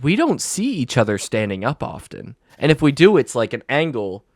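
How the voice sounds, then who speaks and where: A young man from Maine with a Maine accent, co-hosting a casual chat podcast with excitable, laughing, animated delivery. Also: medium pitch, normal speed, dry recording, studio quality. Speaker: podcast co-host.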